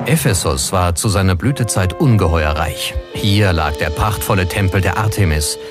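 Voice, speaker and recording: A man's voice: sits low, lively intonation, moderate pace. A man reading scripted documentary narration as the narrator. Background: prominent background music.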